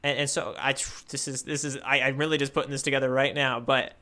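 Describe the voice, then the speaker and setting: A man with a very bright, forward voice talks casually as a podcast co-host. He works through his thoughts out loud, doubling back on words as he goes. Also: mid-range voice, little pitch movement, brisk. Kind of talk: casual chat, manga discussion.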